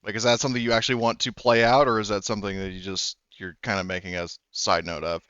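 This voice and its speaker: A man acting as game master, talking in a relaxed, rambling way and laughing often. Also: lowish voice, quick pace, clear, resonant tone, dry recording, lo-fi sound. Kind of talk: narrating a tabletop game.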